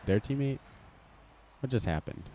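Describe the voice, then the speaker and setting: A man's voice: mid-range voice, lively intonation, normal speed, warm tone, a little hiss or hum. A man streaming a game, reacting casually and swearing at the play as it happens. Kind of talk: live gameplay commentary.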